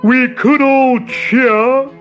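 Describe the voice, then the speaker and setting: A performer voicing a big, well-meaning storybook elephant with a slow, loud, trumpeting delivery. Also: sits high, steady tone, muffled recording, soft background music, voice pushed up, heavily acted. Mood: eager, booming.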